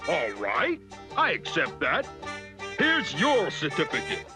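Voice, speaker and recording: A proud cartoon lion king who proclaims grandly to his subjects. The voice rides high and swings widely in pitch, ceremonious and pompous, over background music. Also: huge pitch swings, slow, heavily acted. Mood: granting, official. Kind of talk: animated children's story acting.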